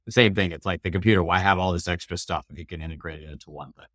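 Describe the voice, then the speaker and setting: A man with a deep voice talks quickly in a casual interview. He explains products informally, as a company representative would. The recording is dry, without room sound. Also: a bit bright, no echo. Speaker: bike bag company representative being interviewed.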